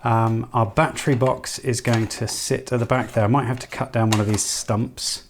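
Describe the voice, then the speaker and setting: A man's voice: medium pitch, flat delivery, normal speed, background noise. A man giving casual, informal hands-on commentary as he works through an electronics build, half talking to himself. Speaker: electronics hobbyist presenter.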